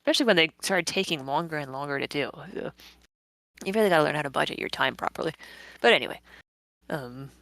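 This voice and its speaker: A woman's voice: low-pitched, expressive, fast, vocal fry, long pauses, a bit bright, no echo. A woman giving rambling, self-deprecating, giggly commentary on her own creative work in a casual live chat. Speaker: comic creator giving behind-the-scenes commentary.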